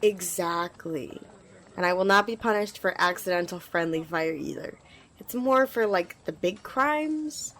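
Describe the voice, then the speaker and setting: A woman's voice: on the low side, measured pace, fairly bright tone. Earnest, chatty squire voiced by a woman, noisy ambience. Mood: friendly, reassuring. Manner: hesitant, rambling, earnest, reassuring. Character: eager, earnest, nervously chatty young squire with magic. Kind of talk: ASMR roleplay addressed to the listener.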